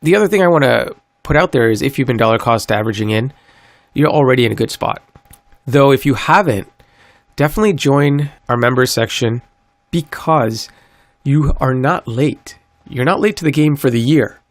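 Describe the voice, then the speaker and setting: A man hosting a solo podcast, a little stuffy from a cold, talking in an earnest, upbeat sales-pitch way. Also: lively intonation, normal speed, no room sound. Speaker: podcast host. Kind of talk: solo crypto podcast monologue.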